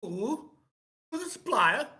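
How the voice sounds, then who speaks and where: A woman's voice: fairly high pitch, big pitch swings, takes it slowly, pushed and bright, heavily acted. A woman playing an anxious street drug dealer underling at a live script table read. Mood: worried, deferential.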